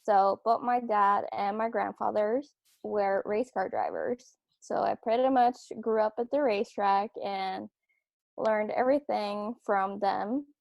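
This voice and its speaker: A young woman from Norway, a drag racing driver, telling her story in a relaxed interview with fillers and restarts over a remote call. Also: mid-range voice, unhurried, creaky, dry recording. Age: 20s. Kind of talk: casual interview chat. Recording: dull remote-call audio.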